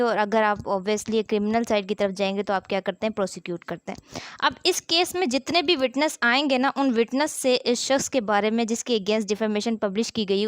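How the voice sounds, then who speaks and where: A woman's voice: a little high, smooth, dry recording. A woman lectures on law, reading formal text and explaining it step by step with repetition and rhetorical questions. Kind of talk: explanatory law lesson, reading statute articles then explaining them.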